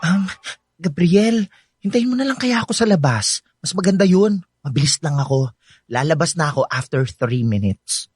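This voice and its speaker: A woman's voice: low voice, animated, moderate pace, darkish tone, breathy edge, soft background music. A woman acting a gossipy, easily exasperated younger sister in a Filipino radio drama, chatty and exclamatory. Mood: embarrassed.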